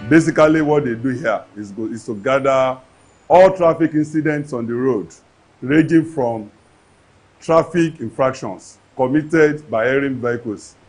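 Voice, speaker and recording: A husky-voiced man speaks as an agency official in a news interview. He answers in an earnest, official manner and sometimes restarts his phrases. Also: mid-range voice, measured pace, darkish tone, faint music behind.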